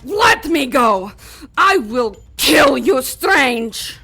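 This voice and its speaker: A woman's voice: high-pitched, big pitch swings, vocal fry, bright, forceful tone, faint music behind, heavily acted. An actress playing a sadistic female demon in an audio drama, taunting and savoring her threats. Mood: furious. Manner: slow, mocking, savoring threats.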